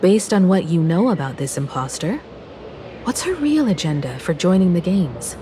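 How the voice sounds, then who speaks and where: An actress playing a composed, caring and analytical young woman in a video game, speaking in a measured, earnest, articulate way. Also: lowish voice, sing-song, mellow, slight background noise. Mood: serious, explanatory. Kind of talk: video game voice acting, expository dialogue. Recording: studio voice over game music.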